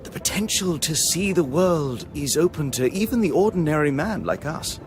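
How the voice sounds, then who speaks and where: Man playing a higher-voiced, breezy gentleman traveller. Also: expressive, normal speed, faint music behind, natural voice. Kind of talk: video game dialogue acting.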